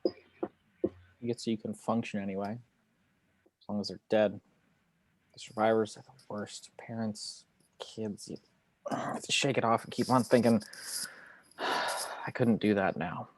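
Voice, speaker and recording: Low, dry-sounding man playing a haunted investigator. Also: fairly even intonation, a little slow, long pauses, no room sound, lightly acted. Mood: weary, troubled. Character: haunted, reluctant male former crime investigator, weary. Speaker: actor in a table read. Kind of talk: script table read, understated acting.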